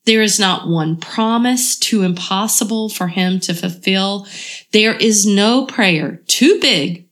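Slow, froggy-voiced woman with a cold. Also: medium pitch. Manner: earnest, encouraging. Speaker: podcast host. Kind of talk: devotional podcast message.

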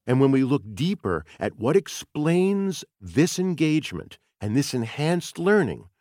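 A man narrates a nonfiction audiobook in a very dry, close recording. His pitch moves around a lot, and his phrasing is deliberate and emphatic. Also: very animated, darkish tone, no echo. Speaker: author reading own audiobook.

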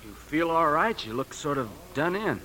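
An actor playing a polite, attentive bank employee in a 1950s radio drama. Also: male voice, fairly high pitch, sing-song, moderate pace, bright, forceful tone. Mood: polite, concerned. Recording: faintly noisy 1950s radio broadcast.

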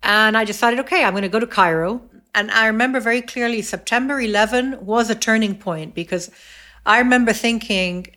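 Middle-aged woman guest, thoughtful and measured, frequent pauses and self-corrections. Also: on the high side, sharp tone. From Egypt, raised in the US, Saudi Arabia and England. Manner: thoughtful, many fillers and restarts. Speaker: podcast guest. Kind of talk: reflective interview conversation.

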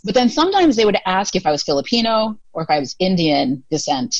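A woman's voice: on the low side, sing-song, fast, no echo, muffled recording. An American woman in her thirties telling stories as a podcast guest, talking casually with lots of fillers and laughs. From United States. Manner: chatty, many fillers, laughs often. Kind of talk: casual storytelling interview.

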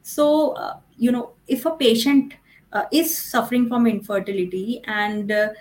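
A woman's voice: on the high side, moderate pace, darkish tone. A woman with an Indian accent speaking as a fertility doctor and podcast guest, explaining things emphatically and repeating herself, often saying "you know". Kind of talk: explanatory interview answers.

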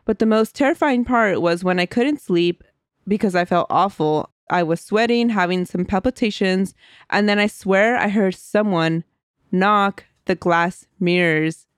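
A woman's voice: medium pitch, a little slow, smooth, no room sound, studio quality. A woman co-hosting a podcast, chatting casually, laughing often and reading a listener's story aloud. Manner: giggly, chatty, reads aloud with asides. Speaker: podcast co-host.

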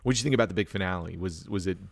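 A man with a low-pitched voice hosts a casual movie discussion. He rambles as he thinks aloud, and the recording is dry with very little room sound. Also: rapid-fire, gravelly, no echo. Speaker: movie podcast host. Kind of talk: casual chat.

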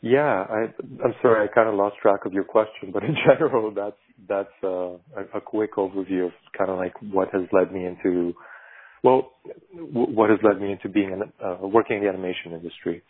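A low-voiced man from Bulgaria speaks as a podcast guest who works in animation. His voice is husky, and he picks his words slowly, often stopping to restart a thought. The sound is tinny and narrow, like a remote call coming over a phone line. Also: fairly even intonation. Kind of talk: reflective conversational interview.

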